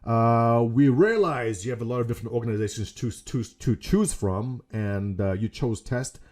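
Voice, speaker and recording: A middle-aged man with a South African accent talks casually to his gaming community from a small room at home. His voice is mellow and warm, and he rambles from one topic to the next with jokes at his own expense. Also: medium pitch, normal speed. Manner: rambling, jokey, self-mocking. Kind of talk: casual webcam vlog.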